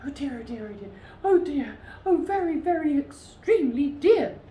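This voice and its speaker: A woman's voice: high voice, sing-song, moderate pace, mellow, voice pushed up. A woman voicing a big, hapless female polar bear who frets in sing-song exclamations, for a children's storybook. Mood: panicked, fretful. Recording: roomy echo with faint background noise.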